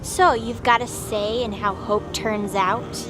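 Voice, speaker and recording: A woman plays a domineering goddess with a fairly high voice and a short temper. She is curt, cold and mocking, in an audio drama with music clearly behind her. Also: fairly even intonation, takes it slowly, rough recording. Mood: scornful. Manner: curt, scornful, commanding.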